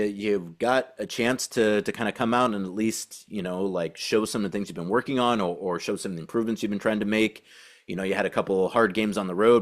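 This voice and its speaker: A man with a low voice delivers casual sports commentary as a podcast host. He talks in a halting, conversational way, repeating and rephrasing as he works toward his point. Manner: halting, repeats and restarts phrases. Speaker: basketball podcast host and team reporter.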